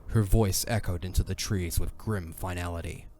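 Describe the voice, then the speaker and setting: Low-voiced male storyteller over soft music. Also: fairly even intonation, normal speed. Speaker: narrator. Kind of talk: dramatic scripted fantasy narration.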